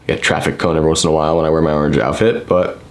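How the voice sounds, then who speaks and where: A man's voice: very deep voice, level tone, rapid-fire. A 21-year-old man hosting his ski vlog, talking upbeat and straight to his viewers. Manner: upbeat, addresses viewers directly. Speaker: vlog host. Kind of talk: casual YouTube intro and interview.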